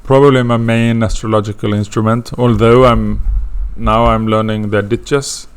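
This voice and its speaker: Hesitant Swedish man, gravelly voice. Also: fairly low pitch, steady tone, moderate pace. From Sweden. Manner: hesitant, many fillers and restarts. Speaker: astrology teacher. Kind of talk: casual course introduction.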